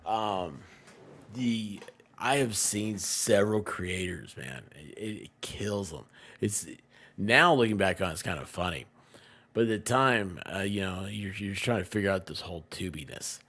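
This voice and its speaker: A man with a fairly low voice chats casually as a streamer. He tells stories in an animated way, swinging between loud and hushed delivery. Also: unhurried. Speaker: live streamer, co-host. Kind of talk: casual chat on a livestream.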